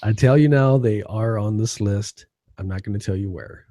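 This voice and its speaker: A man's voice: fairly low pitch, normal speed, dark tone, no echo, clean studio sound. A man co-hosting a casual live chat show, quizzing his partner on a song countdown in a laid-back, chummy way.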